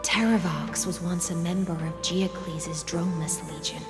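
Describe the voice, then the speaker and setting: A woman playing a composed, grave female warrior in a fantasy video game, speaking in a measured, formal way. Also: fairly low pitch, steady tone. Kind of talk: dramatic video game acting. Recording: echoey long reverb, music behind.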